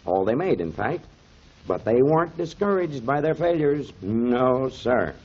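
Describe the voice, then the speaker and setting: An actor playing a kindly, avuncular mentor explaining things to children in emphatic 1930s radio style, on a muffled old recording. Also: male voice, mid-range voice, big pitch swings, unhurried, a little mellow, breathy edge. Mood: earnest storytelling. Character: kindly grown man, storytelling mentor to children, pipe smoker.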